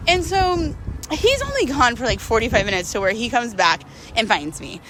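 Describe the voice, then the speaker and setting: A woman's voice: a little high, very animated, normal speed, fairly bright tone, slight background noise, poor audio. A young woman telling a personal story in a casual, chatty vlog style, slangy and full of informal asides.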